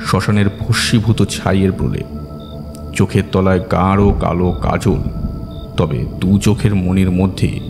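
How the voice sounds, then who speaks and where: Deep-voiced man narrating horror, in reverb. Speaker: story narrator. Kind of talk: dramatic scripted horror narration. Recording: long reverb, music bed behind.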